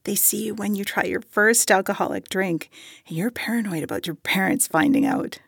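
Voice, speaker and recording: A woman's voice: medium pitch, normal speed, fairly bright tone, no room sound, clean studio sound. A woman acting in an audio drama as a tender, nostalgic young woman speaking intimately of childhood friendship. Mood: tender, reflective. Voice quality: husky, breathy, creaky.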